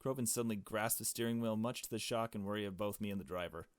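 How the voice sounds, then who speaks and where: A man reading a visual novel aloud as a gamer, in expressive storytelling narration broken by casual reactions. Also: lowish voice, quick pace, smooth, dry recording, clean studio sound.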